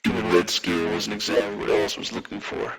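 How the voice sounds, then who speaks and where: A man playing a calm, serious veteran operative in an audio drama, explaining things in a measured way. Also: low voice, over loud music, close to an everyday voice, poor audio. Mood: calm, grave. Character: calm, grave veteran male metahuman operative.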